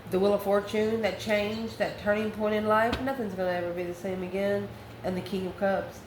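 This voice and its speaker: A woman's voice: mid-range voice, fairly even intonation, brisk. Woman reading tarot in an echoey room. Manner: conversational, thinking aloud in short phrases. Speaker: tarot reader, channel host. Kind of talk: improvised tarot reading, spoken to viewers. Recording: roomy echo, faint background noise.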